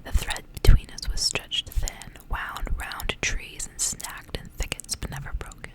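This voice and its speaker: A woman reads a story in a hushed whisper, entirely breathy and unvoiced. Her delivery is soft and intimate, in an ASMR style. The phrasing is measured, like a storyteller reading from a book.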